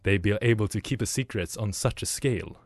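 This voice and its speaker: A man's voice: on the low side, brisk, no room sound, studio quality. A young man in his twenties co-hosts a student radio discussion and reasons through a point with a wry edge. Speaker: student co-host. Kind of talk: casual discussion.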